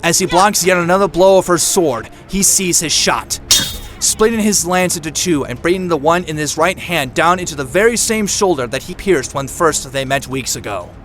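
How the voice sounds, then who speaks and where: A man's voice: on the high side, lively intonation, moderate pace. A man reading scripted action narration for an audio drama. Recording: loud music bed. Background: loud music.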